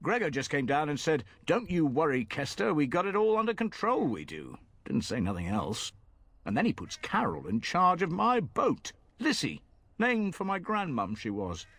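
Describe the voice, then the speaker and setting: A man's voice: fairly high pitch, wildly swooping pitch, normal speed, fairly bright tone, no echo, heavily acted. An actor playing a plain-spoken, folksy commoner ferryman in a fantasy video game, chatting in rustic, colloquial speech. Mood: baffled, grumbling.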